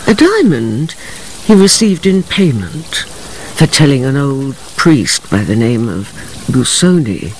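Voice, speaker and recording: A man's voice: medium pitch, big pitch swings, slow, a little hiss or hum, low-quality recording. A man playing an ornate, confiding storyteller, narrating a radio drama theatrically. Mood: confiding, relishing. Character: ornate, confiding storyteller addressing honoured friends. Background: music.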